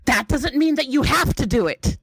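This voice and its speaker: A woman's voice: high voice, expressive, moderate pace, no echo. A woman playing a firm, protective friend in an improvised tabletop adventure, scolding and reassuring emphatically. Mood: insistent, protective. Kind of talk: in-character improvised tabletop roleplay.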